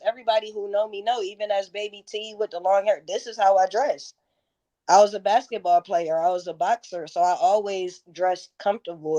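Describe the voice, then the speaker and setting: A woman's voice: mid-range voice, mellow. A woman in her thirties telling stories candidly in a casual interview, with slangy, profane, emphatic phrasing. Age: 30s. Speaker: interview guest, music performer.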